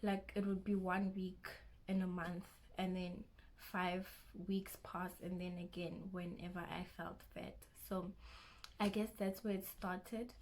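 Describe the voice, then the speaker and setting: A young woman with a South African accent talks slowly and unhurriedly to camera, chatty and a bit self-conscious, often restarting her sentences. A faint hum of room ambience sits behind her voice. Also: fairly low pitch, little pitch movement, a little mellow, low-quality recording. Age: young adult. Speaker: vlogger. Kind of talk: casual first-vlog chat.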